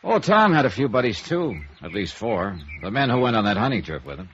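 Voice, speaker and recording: An actor playing a hard-boiled, dry, probing American insurance investigator in 1950s radio noir, clipped and wry. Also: male voice, fairly low pitch, sing-song, brisk, fairly bright tone, a little hiss or hum. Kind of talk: 1950s radio noir acting with first-person narration. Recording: dull vintage radio recording with music.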